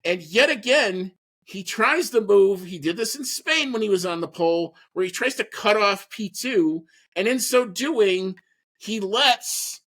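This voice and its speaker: A man with a fairly high-pitched voice hosts a sports podcast. He recaps the action in a casual, animated way, putting emphasis on his opinions. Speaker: podcast host.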